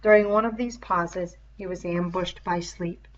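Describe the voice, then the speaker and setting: A woman narrating a novel aloud in an expressive, literary storytelling style. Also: lowish voice, unhurried, muffled recording.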